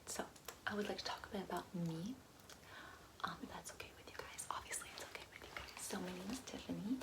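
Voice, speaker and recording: Breathy whispering girly woman doing asmr roleplay as a ditzy gold digger teacher, chatty and rambly. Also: medium pitch, low-quality recording. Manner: chatty, girlish, rambling asides with "like". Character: ditzy, materialistic gold-digger giving a class. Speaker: ASMR roleplayer playing a class instructor.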